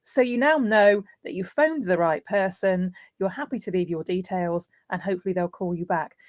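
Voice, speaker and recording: A woman's voice: fairly low pitch, normal speed, no echo. A woman speaking as a guest voiceover artist, giving practical tips conversationally and illustrating points with everyday examples.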